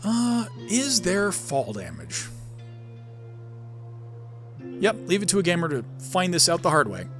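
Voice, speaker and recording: A man's voice: high voice, wildly swooping pitch, normal speed, fairly bright tone, soft background music. A man doing casual gameplay commentary as a video host, rambling with self-aware asides. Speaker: gaming video host and amateur voice actor.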